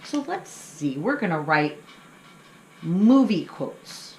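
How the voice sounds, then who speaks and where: A woman's voice: lowish voice, big pitch swings, takes it slowly, darkish tone. A middle-aged woman hosting a children's craft lesson, explaining things simply and encouragingly to young kids. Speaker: children's story time host. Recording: echoey room with faint background noise.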